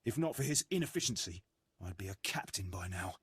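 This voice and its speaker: An actor playing an ambitious, resentful military sergeant in a video game, formal, clipped and self-important. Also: male voice, deep voice, expressive, fairly quick, breathy edge, dry recording, heavily acted. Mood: resentful, impatient. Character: ambitious, resentful, impatient male military sergeant of an evil empire.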